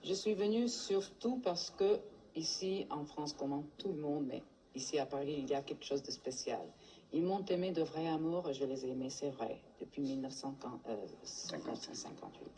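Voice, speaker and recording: Low, dark-voiced woman reminiscing. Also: fairly quick, a little hiss or hum, poor audio. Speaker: interviewee in an archive clip. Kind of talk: recorded interview answer.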